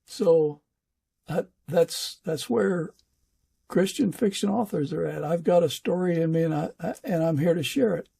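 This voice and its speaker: Male podcast host, higher-pitched with a relaxed delivery, halting, conversational. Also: gravelly, slightly rough. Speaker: fiction author. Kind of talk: casual interview chat.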